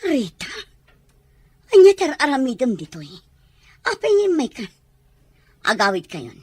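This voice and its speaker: A hard-hearted Ilocano mother in a radio drama. Her husky voice swings widely in pitch, and she talks in a brusque, dismissive, defiant way. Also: wildly swooping pitch, a little slow, darkish tone, no echo, heavily acted. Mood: irritated, defiant. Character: cold, defiant mother who abandoned her children.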